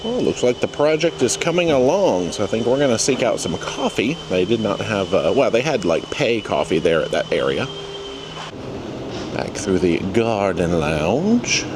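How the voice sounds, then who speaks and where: A man's voice: on the low side, big pitch swings, moderate pace. A man narrating a casual travel vlog in a playful, exclamatory way. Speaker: travel vlogger. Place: on board a cruise ship, various spaces. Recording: clear background noise, ship ambience.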